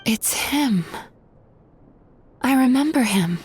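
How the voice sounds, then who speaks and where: A woman playing a calm, analytical therapist in a video game, speaking in a measured, matter-of-fact way. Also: sits high, a little hiss or hum. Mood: pensive. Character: composed, frank, analytical female therapist. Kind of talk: video game character dialogue. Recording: music behind the voice.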